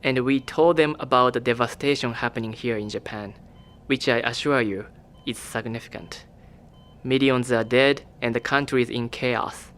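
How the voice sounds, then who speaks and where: A man playing a cool, evasive male government official who speaks in a calm, formal, measured way, in an audio drama. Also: medium pitch, close to an everyday voice.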